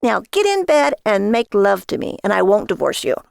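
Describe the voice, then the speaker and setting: A woman's voice: medium pitch, very animated, moderate pace, gravelly, no echo, clean studio sound. A woman voicing an exasperated, nagging wife in a story, sarcastic and scolding toward her husband. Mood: weary warning.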